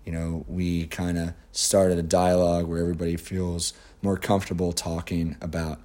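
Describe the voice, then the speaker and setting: A man co-hosting a casual podcast, his voice raspy and hoarse, speaking in an earnest, measured way. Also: low voice, flat delivery, warm tone. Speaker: podcast co-host. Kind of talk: casual chat.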